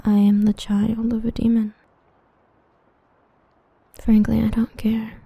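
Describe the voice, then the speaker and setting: A young woman voicing an obsessive, protective half-demon villain in a hushed, intimate ASMR roleplay whisper. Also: fairly high pitch, completely flat delivery, creaky, slightly rough, dry recording, full character voice. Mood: hushed menacing. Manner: hushed, intimate, slow and brooding.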